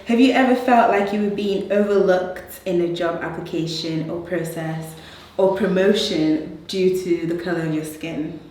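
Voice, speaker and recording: A woman's voice: lowish voice, normal speed, few pauses, darkish tone. A woman with a British accent, from Nigeria and raised in the UK, hosting a group discussion. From born in Nigeria, grew up in the UK. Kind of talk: panel chat, asking questions. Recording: echoey room, distant mic, faint noise.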